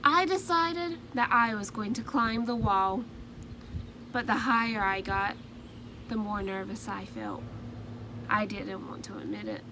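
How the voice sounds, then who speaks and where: A woman reading the part of a timid male egg who tells his own story in soft, earnest first-person storybook narration. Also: medium pitch, unhurried, long pauses, background noise, lightly acted. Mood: nervous.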